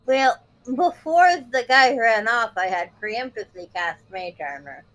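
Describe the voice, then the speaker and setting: A woman's voice: fairly high pitch, big pitch swings, unhurried, a bit bright, rough recording. A woman player describing her character's actions in first person during a tabletop role-playing game.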